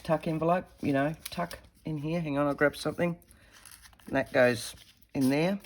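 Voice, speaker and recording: A woman, slightly croaky from a head cold, chatting casually as she demonstrates a paper craft while working with her hands. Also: low voice, fairly even intonation, normal speed. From Australia. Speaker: craft tutorial host.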